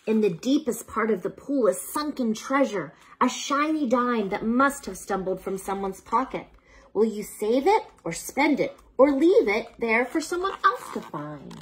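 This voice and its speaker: A woman reading a children's bedtime story aloud in an unhurried, expressive way, as if speaking to a child, with a slightly husky voice. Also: fairly high pitch, rough recording.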